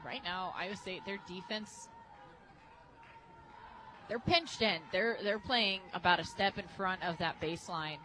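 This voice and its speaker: A woman working as a radio colour analyst, giving informal, conversational commentary on a softball game. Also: fairly low pitch, unhurried, long pauses, a faint crowd. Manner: informal, explains tactics. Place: outdoor ballpark radio booth.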